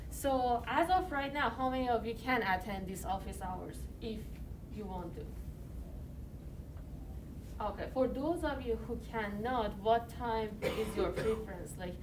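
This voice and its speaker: A woman from Iran with an Iranian accent, giving a chemistry lecture in a university classroom in a loose, conversational way full of "you know" and "like". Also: a little high. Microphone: iPad recording from a distance. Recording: distant mic, noisy classroom.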